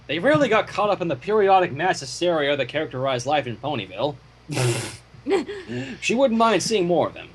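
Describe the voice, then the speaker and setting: Animated, husky man reading a story aloud. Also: on the high side, a little fast, poor audio. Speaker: fan fiction reader. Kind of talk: storytelling read aloud with casual asides.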